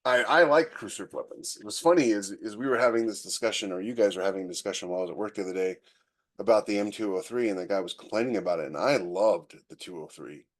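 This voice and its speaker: Low-voiced man chatting on a podcast. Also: fast. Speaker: podcast co-host. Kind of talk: casual podcast discussion.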